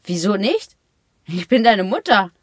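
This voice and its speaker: An actress playing a proud, chatty middle-aged mother with a husky voice in a naturalistic radio drama. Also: mid-range voice, sing-song, measured pace, dry recording. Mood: proud, defiant.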